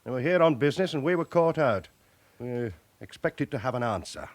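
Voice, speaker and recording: An actor playing a no-nonsense chief inspector in a naturalistic radio drama, speaking with dry, matter-of-fact authority. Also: male voice, mid-range voice, lively intonation, fairly quick, dry recording, close to an everyday voice. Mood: impatient, dry. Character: pressured, no-nonsense male police chief inspector.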